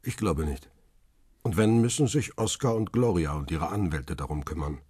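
Low, very husky reflective male narrator. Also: dark tone, dry recording, clean studio sound. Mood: quietly reassuring, uneasy. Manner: measured, literary storytelling. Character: middle-aged male paparazzo, devoted husband and father, reflective. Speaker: narrator and lead actor. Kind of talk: first-person radio crime drama narration.

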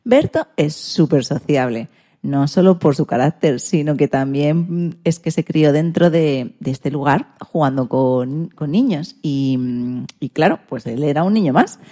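A woman hosts a radio show in a conversational way. Her voice sits fairly low and has a dark, mellow tone. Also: measured pace.

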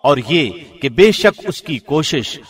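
A man reading a scripture translation from a script, in a formal, measured radio presenter's delivery. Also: a little high, expressive, breathy edge.

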